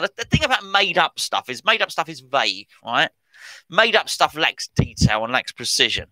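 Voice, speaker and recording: A middle-aged man hosts a casual football podcast, rambling and correcting himself as he goes. His voice is bright and cutting and sits a little above the usual pitch for a man. The recording is dry, with no room sound. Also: no echo, rough recording. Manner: chatty, rambling, self-correcting. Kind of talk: casual commentary on transfer news.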